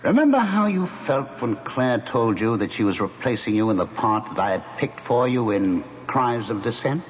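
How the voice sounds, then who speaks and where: An actor playing a smug, manipulative ghost in a radio drama, with a theatrical, mocking and insinuating delivery. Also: male voice, medium pitch, big pitch swings, normal speed, lightly acted. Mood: goading. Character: smug, taunting male ghost, manipulative and urbane. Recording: muffled old radio broadcast, faint noise.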